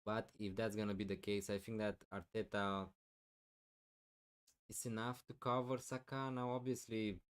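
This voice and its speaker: Man, live streamer, low voice, smooth and clear, loud with lots of fillers, voice wearing thin. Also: level tone, normal speed. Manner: loud, thinking aloud with many fillers. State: losing his voice, clearing throat. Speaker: fantasy football streamer. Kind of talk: live stream answering chat questions.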